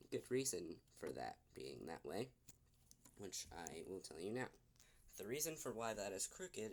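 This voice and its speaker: A man giving an informal explanation as a presenter, speaking haltingly in short broken phrases with pauses. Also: on the low side, a little hiss or hum. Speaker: video presenter explaining technology. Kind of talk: unscripted explainer, demonstrating to camera.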